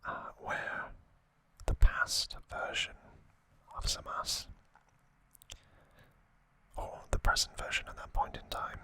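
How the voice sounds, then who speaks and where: Man whispering slowly in ASMR style. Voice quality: breathy unvoiced whisper. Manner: soft, unhurried, deliberate short phrases. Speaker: ASMR channel host. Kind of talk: whispered ASMR story recap.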